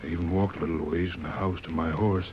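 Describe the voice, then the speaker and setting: A sly little outlaw henchman, played by a man in a radio western. His voice is very low, his speech clipped and folksy, on a noisy old recording. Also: fairly even intonation, fast, highs cut off, close to an everyday voice. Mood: smug. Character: undersized, sly cattle-thief henchman.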